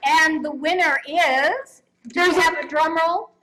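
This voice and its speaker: A woman presenting an award live in a playful manner, at an unhurried pace. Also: high-pitched, heavy background noise.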